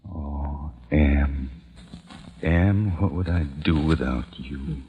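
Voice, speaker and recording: A man's voice: a bass voice, extremely slow, narrow, tinny audio, full character voice. An actor playing a weary, grieving man who leads a few survivors, in a solemn, dramatic old-time radio style. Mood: despairing. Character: weary, grieving male survivor leading a small community. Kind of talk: old-time radio acting with first-person narration. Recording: old radio recording with music behind.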